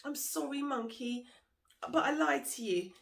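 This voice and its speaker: A woman's voice: high voice, unhurried, creaky, voice pushed up. Woman voicing a guilty, scheming crocodile. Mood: guilty, confessing. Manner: reluctant, apologetic. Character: guilty, scheming male crocodile with a sick wife.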